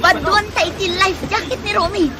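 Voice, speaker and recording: A woman's voice: very high voice, a little fast, crowd noise. A woman with an Ilocano accent playing a frantic passenger on a sinking ferry in a radio drama, with urgent exclamations. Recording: noisy outdoor sound effects behind.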